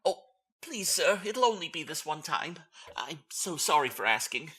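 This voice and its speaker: A man playing a nervous, downtrodden Victorian clerk in a comic audio drama. His voice is strained and bright, leaping up and down as he pleads. He stammers and apologises like someone afraid of his employer. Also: a little high, very animated, normal speed, slightly rough, exaggerated voice. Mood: pleading, fearful. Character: timid, fretful, put-upon male Victorian clerk.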